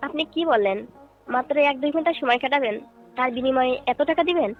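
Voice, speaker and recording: Female folk singer played by a woman, high-pitched, courteous and explanatory, dull phone-call sound with faint music. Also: animated, quick pace. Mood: curious, tempted. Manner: polite, explanatory, questioning.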